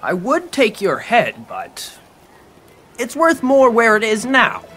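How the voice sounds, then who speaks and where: An actor playing a brash, cheerful warlord rallying troops in an English game dub, folksy and theatrical. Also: male voice, high voice, wildly swooping pitch, slow, fairly bright tone, full character voice. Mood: showy menace. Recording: music behind the voice.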